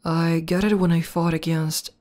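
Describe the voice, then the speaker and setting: A woman playing an affectionate, bashful tomboy demoness warrior in an intimate ASMR roleplay, talking softly and tenderly with some hesitation. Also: fairly low pitch, flat delivery, normal speed, natural voice. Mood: calm, reminiscing. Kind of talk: ASMR roleplay, intimate one-sided conversation.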